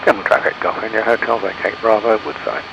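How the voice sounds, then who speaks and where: A man with a creaky, slightly low voice gives terse pilot calls on an aircraft radio, buried in very loud noise. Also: steady tone, quick pace. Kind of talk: clipped aviation radio call.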